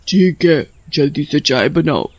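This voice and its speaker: A man plays a greedy yet helpful witch from an animated horror-comedy tale. The voice sits somewhat high and lingers over words with relish, recorded dry and close. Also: unhurried, breathy edge, dry recording, exaggerated voice. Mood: demanding. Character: tea-loving female witch living in a tree, greedy but helpful. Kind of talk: Hindi animated horror-comedy story dialogue.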